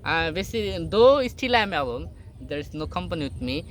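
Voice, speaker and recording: A young man from Bangladesh, a native Bengali speaker, giving a casual motivational talk to camera in halting English full of fillers and restarts, sitting on a boat outdoors. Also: a little high, big pitch swings, a little hiss or hum. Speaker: vlogger. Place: sitting alone on a boat outdoors in a rural area.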